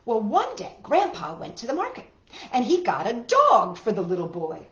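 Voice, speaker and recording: A woman reads aloud to children as a story-time host. Her voice is fairly high and very animated, with simple, dramatically stressed phrases. The sound is roomy and a little dull. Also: moderate pace. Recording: roomy, dull lo-fi home recording.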